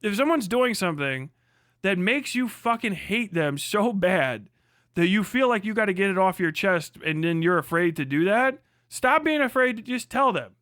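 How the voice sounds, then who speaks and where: A man's voice: high-pitched, lively intonation, normal speed, a bit bright, no echo, clean studio sound. A man from the United States giving a casual, profane opinion rant as a livestream host, blunt, irreverent and emphatic.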